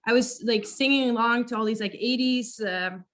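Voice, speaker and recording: A young woman with a naturally raspy voice, a rock singer chatting casually and hesitantly as an interview guest. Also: fairly high pitch, moderate pace, fairly bright tone. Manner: casual, hesitant, laughs often. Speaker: band vocalist, interview guest.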